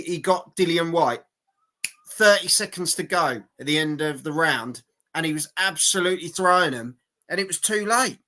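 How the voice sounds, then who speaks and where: Male stream host, sharp forceful voice going hoarse, blokey and repetitive, reacting live to boxing. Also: a little high. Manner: blokey, sweary, repeats phrases for emphasis. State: hoarse, losing his voice. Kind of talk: casual live sports reaction chat.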